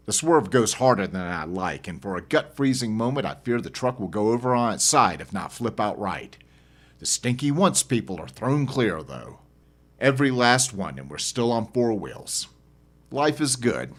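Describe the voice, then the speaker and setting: A man reads his own fiction as an audiobook narrator. His voice sits a little low. The delivery is dramatic, with lively, wide-ranging intonation in a first-person telling. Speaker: author reading own novel as narrator.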